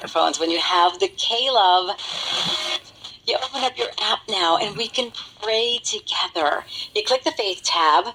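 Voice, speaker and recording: A woman's voice: mid-range voice, measured pace, pushed and bright, rough recording. A woman presenting on the radio, heard through a radio speaker. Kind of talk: radio broadcast announcing. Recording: picked up from a radio speaker, music behind.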